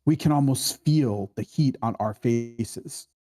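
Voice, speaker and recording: A man hosting a casual screenwriting discussion, explaining in a halting way and often checking for agreement. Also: mid-range voice. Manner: halting, explanatory, keeps seeking agreement with "right?". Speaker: host of a screenwriting table read.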